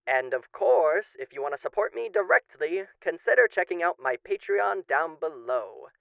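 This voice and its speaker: A man's voice: a little high, expressive, normal speed, vocal fry, a bit bright, dry recording. A man hosting a roleplay channel, giving a showy, announcer-like thank-you to his listeners. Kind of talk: scripted-sounding thank-you outro to listeners.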